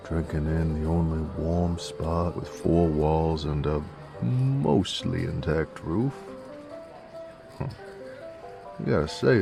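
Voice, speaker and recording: A man playing a gruff, teasing werewolf bounty hunter in a Western audio roleplay, with a gravelly American Western drawl and a folksy, coarse manner. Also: low-pitched, full character voice. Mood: sardonic.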